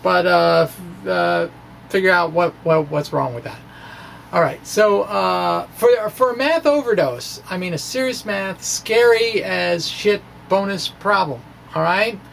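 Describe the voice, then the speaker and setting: A middle-aged American man giving an informal, halting explanation to camera, with animated, self-deprecating asides. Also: high voice, vocal fry, a little hiss or hum. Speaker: physics video blogger. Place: small indoor room with bookshelves. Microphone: microphone on stand in front of chest.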